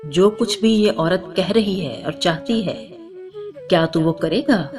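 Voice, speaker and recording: A woman's voice: on the low side, slow pace. A woman storyteller voicing a pious, stern old male hermit in a solemn, admonishing manner. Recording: music under the voice.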